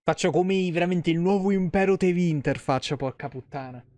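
A man's voice: high voice, a little fast, a little mellow, dry recording. A man, giving casual, joking, foul-mouthed live-stream commentary. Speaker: video game streamer. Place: small home room, indoors.

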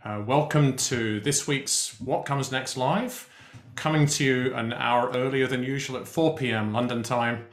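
A man hosts a live interview over a video call in a relaxed, halting way full of ums. His voice sits in the middle range. The room adds a noticeable echo. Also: medium pitch. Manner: relaxed, hesitant with many fillers. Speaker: host. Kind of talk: interview hosting.

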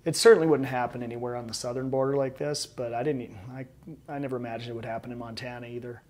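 A man's voice: mid-range voice, fairly even intonation, brisk, darkish tone, a little hiss or hum. Male interviewee, American accent, thirties, mid-range voice, telling his own story at home. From United States. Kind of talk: personal account in an interview. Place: living room at home.

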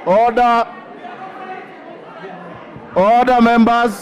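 A man's voice: extremely high pitch, steady tone, drawn-out words, highs cut off, a faint crowd. A middle-aged man chairing a rowdy parliament session, sternly calling for order and lecturing members. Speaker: parliamentary speaker. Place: large parliament chamber. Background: noisy chamber of shouting members.

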